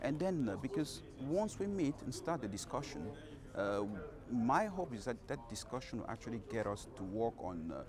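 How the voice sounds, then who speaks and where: A man answering an interview question at a conference, earnest and pausing with fillers. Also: medium pitch, very animated. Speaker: congress participant. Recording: crowd noise behind.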